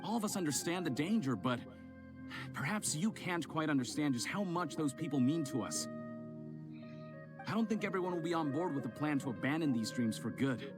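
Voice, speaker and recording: A man's voice: on the high side, expressive, brisk, over music, lightly acted. A man voicing a grieving townsman in a game who speaks in a hesitant, pleading way. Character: grieving townsman, reluctant dreamer.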